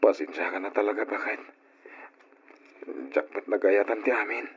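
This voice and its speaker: A man's voice: lowish voice, normal speed, slight background noise, lightly acted. A man playing a remorseful, apologetic husband in an old radio drama, speaking in a contrite, subdued way. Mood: sorrowful, apologetic. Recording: dull, lo-fi old radio recording.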